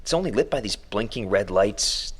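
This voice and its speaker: An actor playing an anxious but bold young captive, muttering a halting spoken journal in an audio drama. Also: male voice, on the low side, animated, breathy edge. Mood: hushed, uneasy. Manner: halting, muttering, narrating aloud to himself.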